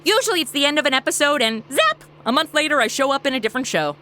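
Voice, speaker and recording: A woman's voice: high-pitched, sing-song, fast, pushed and bright, full character voice. A woman playing a brash, flippant young woman from the future in a comedy audio drama, with breezy, sarcastic modern slang. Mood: casual, wry.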